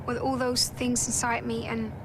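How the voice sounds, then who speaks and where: A woman's voice: a little high, flat delivery, moderate pace, background noise. A woman with an Icelandic accent, talking reflectively in a documentary interview and often restarting and repeating words as she searches for her thoughts.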